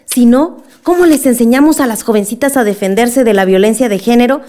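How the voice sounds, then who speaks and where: A woman's voice: on the high side, brisk, warm tone, close to an everyday voice. A woman playing a determined village councilwoman in a radio drama. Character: fed-up, determined village councilwoman demanding fair treatment.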